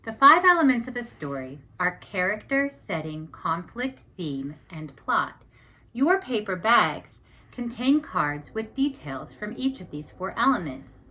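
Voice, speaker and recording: Slow-paced woman teacher, very varied intonation. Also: mid-range voice, sing-song, bright, forceful tone, breathy edge. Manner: deliberate, step-by-step explaining. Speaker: teacher presenting a writing activity. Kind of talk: instructional lesson.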